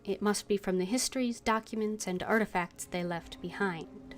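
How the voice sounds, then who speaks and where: A woman streaming a video game, reading game text aloud and voicing her thoughts as she plays. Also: medium pitch. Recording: game music behind the voice. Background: video game music.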